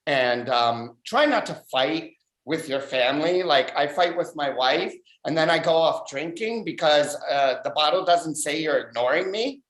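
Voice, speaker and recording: A man doing a stand-up comedy set over a video call, delivering dry deadpan one-liners. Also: a little high, roomy sound, rough recording. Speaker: stand-up comic. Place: abroad in a home room.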